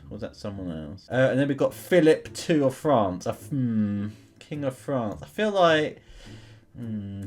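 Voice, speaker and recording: Animated young man, casual gaming commentary. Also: medium pitch. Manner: chatty, informal, thinking aloud. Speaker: gaming video host. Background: music behind the voice.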